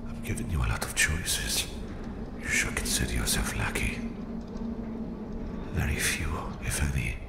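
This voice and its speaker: A man voicing a menacing, gloating Russian villain who captures his listener, in a slow, sinister ASMR roleplay whisper with a gravelly voice. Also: animated, heavy background noise, exaggerated voice. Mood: coaxing menace. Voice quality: very deep, gravelly, breathy whisper. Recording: roomy, echoing voice.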